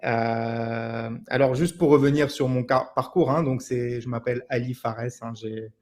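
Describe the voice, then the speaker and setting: Flat-voiced man in his thirties. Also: mid-range voice, measured pace. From Senegal. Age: 30s. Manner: hesitant, flat delivery with fillers. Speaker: guest, company director. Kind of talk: interview answers.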